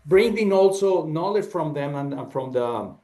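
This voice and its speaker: A man welcomes people to the session over a video call. His voice sits a little high for a man, and he halts often as he searches for his English words. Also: lively intonation, moderate pace, darkish tone. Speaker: host of the session. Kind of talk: formal welcome remarks.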